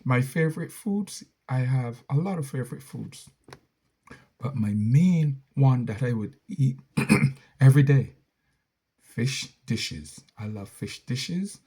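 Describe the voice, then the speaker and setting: A man's voice: mid-range voice, lively intonation, slow pace, warm tone, slightly rough. A middle-aged man with a Barbadian accent, a content creator casually answering viewer questions in a rambling, self-correcting way. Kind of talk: casual Q&A monologue.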